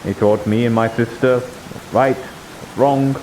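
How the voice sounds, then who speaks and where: A man playing an earnest, devout, plain-spoken holy warrior in an improvised fantasy roleplay, speaking solemnly and haltingly. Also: mid-range voice, fairly even intonation, a little mellow, slight background noise, natural voice, poor audio. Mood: earnest, reflective. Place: live online VR roleplay stream.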